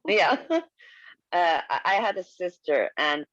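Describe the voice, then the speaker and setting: A woman's voice: lowish voice, sing-song, a bit bright, no room sound. Woman chatting openly, lots of restarts, kinda muffled dead-dry sound. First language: Swedish. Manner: candid, halting with frequent restarts and laughs. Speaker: guest. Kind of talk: casual video-call conversation.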